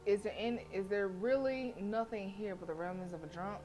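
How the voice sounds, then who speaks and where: A woman game streamer reading a visual novel aloud and reacting casually, with sassy, expressive delivery. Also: mid-range voice, fairly quick. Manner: expressive, sassy, talks back to characters. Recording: faint game music behind the voice. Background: game music.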